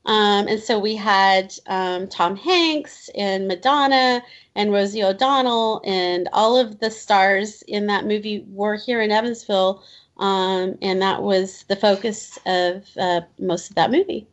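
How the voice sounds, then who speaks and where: A woman's voice: medium pitch, unhurried. A woman in her fifties from Indiana, chatting as an interview guest with many fillers and restarts, laughing now and then. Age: 50s. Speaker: children's book author, guest. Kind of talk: casual interview chat. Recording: slightly dull lo-fi audio.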